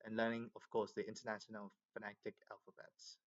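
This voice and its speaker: Man, low muffled voice. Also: monotone, fairly quick. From Myanmar. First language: Burmese. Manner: talkative, many fillers. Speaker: English learner. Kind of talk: casual video chat.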